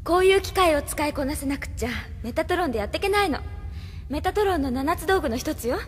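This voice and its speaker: An actress playing an earnest, capable young resistance operative in an anime audio drama, speaking persuasively. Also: female voice, sits high, animated, quick pace, in a large hall. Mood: assured.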